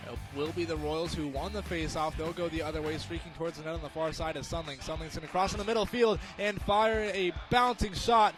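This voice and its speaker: A young American man calling live sports play-by-play for radio in an excited, emphatic manner. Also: fairly high pitch, a little fast, hardly any pauses, over music. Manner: excited, emphatic, rising to shouts on big plays.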